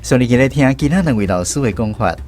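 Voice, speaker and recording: A man's voice: medium pitch, lively intonation, fast talker, a little mellow. A man with a Taiwanese accent hosting a language quiz on the radio, guiding the discussion. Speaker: host of a language-teaching radio segment. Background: music bed.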